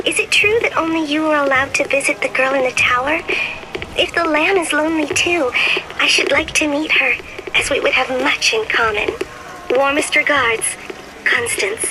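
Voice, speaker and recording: Through a noisy old diary recording comes a high, girlish voice acted by a woman. She is a bookish, lonely child in a video game, reading her letter with prim, earnest precision. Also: moderate pace, natural voice. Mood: earnest, wistful.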